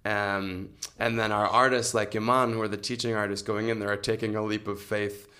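Thoughtful man with a lowish voice. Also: flat delivery, sharp tone. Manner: thoughtful, halting, hedging. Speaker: arts organization administrator, interview guest. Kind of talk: conversational interview answer.

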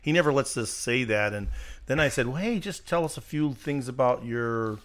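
A man's voice: medium pitch, expressive, moderate pace, a bit bright. A man co-hosting a casual ministry podcast, joking and chatty as he introduces a guest. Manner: joking, chatty, laughs often. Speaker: podcast co-host. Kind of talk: casual chat.

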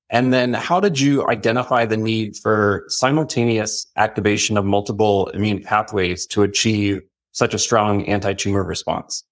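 A man hosting an interview podcast, putting prepared questions to a guest. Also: fairly low pitch. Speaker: podcast guest host, industry executive.

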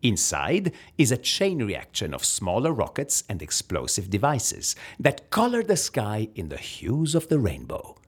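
An actor playing a proud, defensive agency underling in a comedy audio drama, a man with an Italian accent and a husky voice. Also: fairly low pitch, huge pitch swings, a little slow, hardly any pauses, heavily acted. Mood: proud, dramatic.

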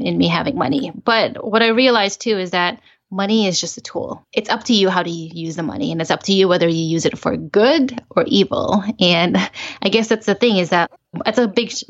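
A woman in her thirties from the Philippines, speaking as a podcast guest. Her voice sits low, and she explains things earnestly, sometimes restarting her sentences. The audio is slightly muffled and lo-fi. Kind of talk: casual interview chat.

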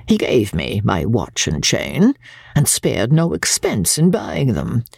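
Woman performing a husky, gravelly, bitter Victorian matron. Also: rumbling low, wildly swooping pitch, slow pace, darkish tone, no room sound, clean studio sound, full character voice. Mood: self-justifying. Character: bitter, proud older Victorian woman confessing by letter. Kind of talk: dramatic audiobook reading of classic literature.

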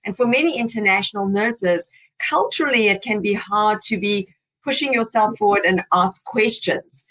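South African woman host moderating a panel, bright forward voice. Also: mid-range voice, normal speed, rough recording.

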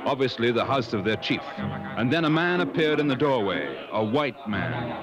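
An actor playing a composed adventurer guide in a 1950s radio drama, speaking in a measured, grave way. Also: male voice, medium pitch, expressive, over music, close to an everyday voice. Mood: grave, suspenseful. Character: composed adventurer guide narrating his African expedition. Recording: dull vintage 1950s radio broadcast.

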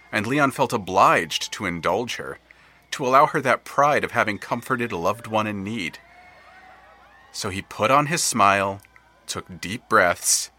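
A man's voice: medium pitch, sharp tone. A man narrating an audio drama in a wry storytelling manner.

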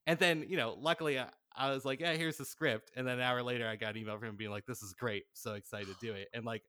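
A man speaking as a podcast guest in a casual, animated storytelling way, peppering his talk with "like". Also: mid-range voice, quick pace, no echo, studio quality. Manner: animated, chuckles through anecdotes, frequent "like". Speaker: podcast guest, audio drama writer-director.

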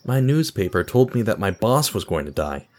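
A man acts out an awkward, burnt-out office worker in a radio play. His pitch moves around a lot as he confides earnestly and hesitantly, quick to apologize. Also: sing-song. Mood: earnest, uneasy. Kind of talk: audio drama acting.

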